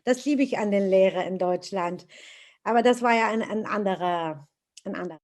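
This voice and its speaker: A middle-aged woman from Iraq who speaks German, telling her own story in an interview, earnest and animated, with frequent hesitations. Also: medium pitch, moderate pace.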